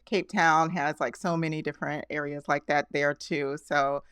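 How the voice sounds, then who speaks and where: A woman's voice: low-pitched, steady tone, normal speed, fairly bright tone, clean tone, dry recording, studio quality. A woman hosting a podcast, enthusiastic and encouraging as she interviews a guest, stammering a little now and then. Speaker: podcast host. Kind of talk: conversational interview.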